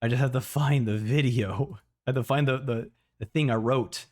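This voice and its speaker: A man in a casual livestream reaction, talking excitably and often repeating words as he thinks out loud. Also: medium pitch, normal speed. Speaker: streamer reacting to a video.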